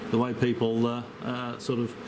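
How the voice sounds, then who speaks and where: A man's voice: mid-range voice, completely flat delivery, normal speed. Hesitant man over background noise. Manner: hesitant, rambling. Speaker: amateur radio operator. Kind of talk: casual radio chat. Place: by a radio set, filmed on handheld camera. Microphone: camera microphone held near the operator.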